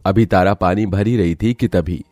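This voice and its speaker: A man's voice: sits low, moderate pace, darkish tone, no echo, clean studio sound. A man narrating a scripted moral story as a storyteller.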